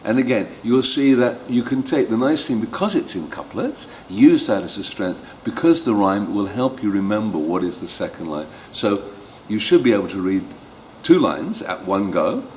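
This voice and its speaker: A man giving an instructional demonstration as a storyteller, speaking expressively and pausing for emphasis, with some background noise. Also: on the low side, big pitch swings, a little mellow, low-quality recording. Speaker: storyteller teaching read-aloud technique.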